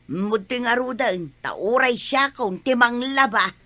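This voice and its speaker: A woman's voice: a little high, lively intonation, takes it slowly, sharp tone, no room sound, heavily acted. A woman with an Ilocano accent playing an obliging but grumbling older village neighbour in a comedy drama. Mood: grumbling, chatty.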